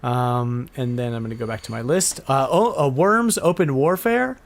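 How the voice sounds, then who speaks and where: A man's voice: mid-range voice, moderate pace. A man hosting a podcast, chatting casually and informally about retro video games. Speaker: podcast host.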